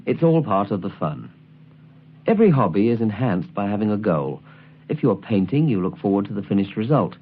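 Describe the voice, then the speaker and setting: A man reading scripted instructional narration in a measured, precisely enunciated way, as the presenter of a tutorial album. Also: on the low side, sing-song, husky. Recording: tinny, band-limited 1979 album recording.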